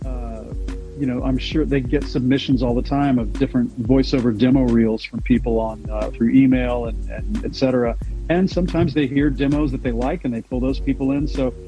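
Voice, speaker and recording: A man with a deep baritone voice, a voice actor answering interview questions in a relaxed, rambling way with frequent restarts. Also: moderate pace, soft background music, low-quality recording. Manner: rambling, many restarts and repeated words. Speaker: voice actor guest.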